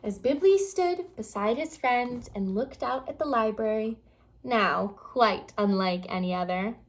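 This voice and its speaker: A woman reading a children's picture book aloud with expressive, dramatic storytelling phrasing. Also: a little high, unhurried.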